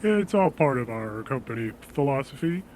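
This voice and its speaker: Radio drama salesman, played by a man: medium-pitched male, courteous and persuasive, quietly reassuring about grim business, some noise behind. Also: big pitch swings, natural voice, low-quality recording. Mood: polite, businesslike. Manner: measured, persuasive, politely solicitous. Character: smooth-talking, reassuring male death insurance salesman. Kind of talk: audio drama dialogue.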